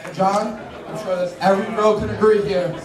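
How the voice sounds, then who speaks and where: High-voiced young New Yorker giving a toast. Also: male voice, steady tone, brisk. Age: 20s. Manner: nervous, informal. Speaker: best man at a wedding. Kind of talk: prepared wedding toast with casual joking asides. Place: large crowded indoor wedding reception venue. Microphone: handheld mic into PA, picked up by distant camera. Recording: echoey hall from far off, loud crowd.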